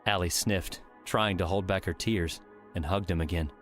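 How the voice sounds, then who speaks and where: A man's voice: low-pitched, fairly even intonation, moderate pace. A man narrating a scripted audiobook story, with music playing underneath.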